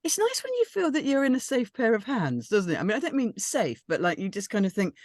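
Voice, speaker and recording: Animated, rambling woman. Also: a little high, a little fast, no room sound, clean studio sound. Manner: chatty, rambling, tentative, enthusiastic. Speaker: script reading panellist. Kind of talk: casual group discussion of a script.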